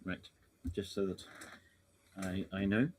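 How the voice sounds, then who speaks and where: A man improvising narration as a tabletop game master in a halting, hesitant way, often pausing mid-sentence. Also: deep voice, flat delivery, distant mic.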